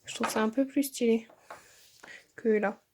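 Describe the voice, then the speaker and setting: A young woman, a student, vlogging from her bedroom on her phone, slightly hoarse from a sore throat, rambling with many fillers and restarts. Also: fairly high pitch, steady tone, unhurried, a little hiss or hum. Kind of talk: casual vlog chat. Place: bedroom at home. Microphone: phone held at arm's length.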